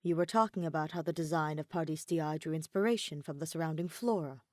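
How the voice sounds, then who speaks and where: A woman voicing a dry, teasing, unflappable young male scholar with a deadpan, mocking manner in a story reading. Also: deep voice, fast talker, dry recording, close to an everyday voice. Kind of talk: audiobook character dialogue.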